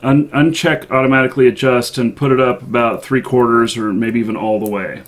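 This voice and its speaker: A man hosting a livestream in casual chat, with a halting, hesitant delivery. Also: mid-range voice, steady tone. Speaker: live show host.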